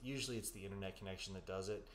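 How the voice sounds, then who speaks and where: Low-voiced man giving hesitant psychic readings. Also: fairly even intonation, very fast. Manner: hesitant, filler-heavy, reassuring. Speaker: psychic medium podcast co-host. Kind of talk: live-stream psychic readings and chat. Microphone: studio mic. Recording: echoey room with faint background noise.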